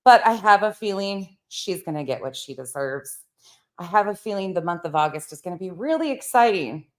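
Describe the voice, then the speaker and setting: A woman with a slightly low voice delivers mocking reaction commentary. She is openly sarcastic and swears freely, with a theatrically exasperated way of talking. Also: lively intonation, a little fast. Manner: sarcastic, profane. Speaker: commentary channel host.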